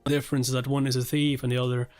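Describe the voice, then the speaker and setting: A man's voice: mid-range voice, flat delivery, rapid-fire, darkish tone, smooth. A man livestreaming a read-along, reacting casually, chatting with viewers and swearing offhandedly. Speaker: livestreamer reading a webtoon aloud and reacting. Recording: music playing behind the voice. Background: background music.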